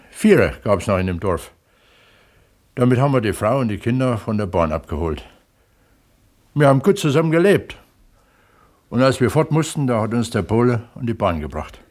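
An actor playing a modest, middle-aged villager who talks plainly and haltingly in a naturalistic radio play. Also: male voice, fairly low pitch, darkish tone, natural voice. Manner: halting, plain-spoken, hesitant. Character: modest, thoughtful resettled villager who wrote a novel. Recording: 1970s East German studio radio drama.

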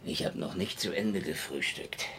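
An actor playing a grumpy old hard-drinking private detective in a hardboiled radio drama, sardonic and world-weary. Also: male voice, low-pitched, normal speed, very noisy. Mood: sardonic, dismissive. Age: older. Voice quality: gravelly. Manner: sardonic, grumbling, drawling. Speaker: lead actor and first-person narrator.

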